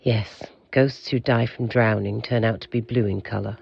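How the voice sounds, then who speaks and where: A man playing an aloof, pompous keeper of an institute for monsters in an audio drama, speaking in a formal, measured, curt way with a gravelly, husky voice. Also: lowish voice, fairly even intonation, no echo, highs cut off, heavily acted. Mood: formal, informative.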